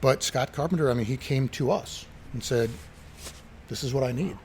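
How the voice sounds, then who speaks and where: A man's voice: medium pitch, normal speed, a little mellow, slight background noise. A middle-aged man in casual conversation, telling anecdotes enthusiastically and acting out the dialogue he quotes. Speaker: interview guest, retired watch collector.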